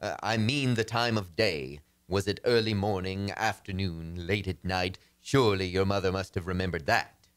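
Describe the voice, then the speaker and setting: A smooth, self-assured astrologer acted by a man in an old-time radio comedy. He speaks in a low voice with soothing, theatrical polish, as if selling charms to a client. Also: moderate pace, lightly acted. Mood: smooth, professional.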